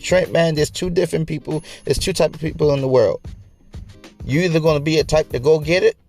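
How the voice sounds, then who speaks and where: A man's voice: fairly high pitch, vocal fry, darkish tone. A man in his late twenties hosting a casual motivational podcast, talking colloquially to listeners and repeating phrases for emphasis. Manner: colloquial, conversational address to listeners. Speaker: podcast host.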